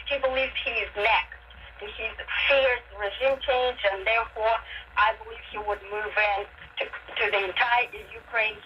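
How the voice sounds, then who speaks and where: A woman giving deliberate, explanatory commentary as a guest analyst in a radio interview, heard over AM radio. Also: medium pitch. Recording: dull, noisy AM radio reception.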